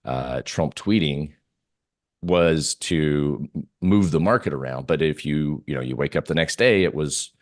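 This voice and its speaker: A man's voice: a bass voice, unhurried. A man giving casual market commentary as an interview guest, informal and often repeating himself as he thinks aloud.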